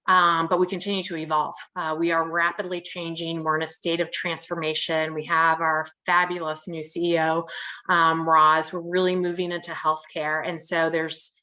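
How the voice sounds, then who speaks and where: A woman with a bright, forceful voice sitting a little low in pitch. She presents an informal keynote with clear enthusiasm for her work. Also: flat delivery, normal speed. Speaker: keynote speaker, company employee.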